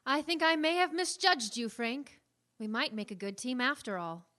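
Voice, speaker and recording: Woman playing a high-voiced, feisty adventurer. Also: animated, normal speed, fairly bright tone, dry recording. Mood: conceding. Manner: indignant, protesting. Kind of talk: radio comedy acting.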